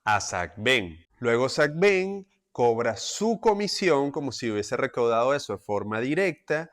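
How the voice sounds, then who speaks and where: A young man calmly explaining music licensing as a lesson, clear and methodical with quick asides. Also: medium pitch. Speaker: music industry educator.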